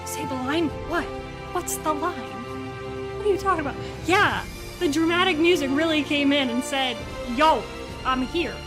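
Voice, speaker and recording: A woman hosting a livestream, chatting casually and haltingly as she reacts. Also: high-pitched. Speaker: livestream host and voice actor. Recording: loud music behind the voice.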